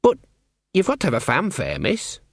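A man's voice: fairly high pitch, wildly swooping pitch, moderate pace, no room sound, studio quality, pitched above the performer's own voice, full character voice. A man voicing a humble young castle servant with rustic grammar and a deferential, literal-minded manner in a storybook. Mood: earnest.

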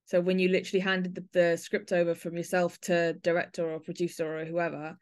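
A woman's voice: fairly low pitch, flat delivery, brisk, clear, resonant tone. A woman speaking as the host of a podcast, introducing and interviewing her guest.

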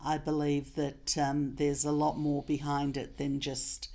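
A woman's voice: deep voice, completely flat delivery, measured pace, mellow. A woman hosting a casual interview, eagerly agreeing and asking pointed questions. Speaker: interview host.

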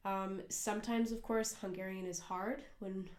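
A woman's voice: medium pitch, steady tone, moderate pace, a little mellow. Earnest American woman in an echoey room. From United States. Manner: earnest, rambling with many fillers and restarts. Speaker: podcast co-host and cafe worker. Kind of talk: casual conversational podcast.

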